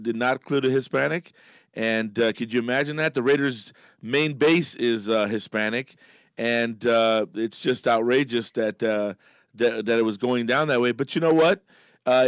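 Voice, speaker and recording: A man's voice: mid-range voice, dry recording. A middle-aged man from Miami, a guest on a radio show, talking emphatically with many fillers and restarts. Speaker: radio guest, business leader. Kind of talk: casual radio interview. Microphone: studio microphone.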